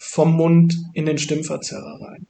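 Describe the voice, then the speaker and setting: A man's voice: fairly high pitch, little pitch movement, unhurried, creaky, dark tone. A man giving a casual voice-over as the maker in a hands-on build video.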